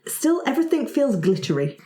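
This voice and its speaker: A woman's voice: fairly high pitch, very animated, fairly quick, dark tone. A woman narrating an improvised tabletop game as game master. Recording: roomy echo.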